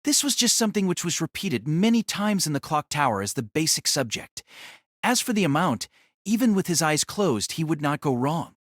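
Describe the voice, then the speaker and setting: A man's voice: fairly high pitch, big pitch swings, normal speed, fairly bright tone, dry recording, studio quality. A man narrating a novel as a scripted audio drama.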